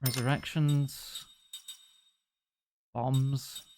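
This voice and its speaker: A man's voice: mid-range voice, flat delivery, extremely slow, noisy background. A man giving casual, joking commentary while he plays a video game and thinks aloud. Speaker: streamer. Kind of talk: casual let's-play commentary. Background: game music.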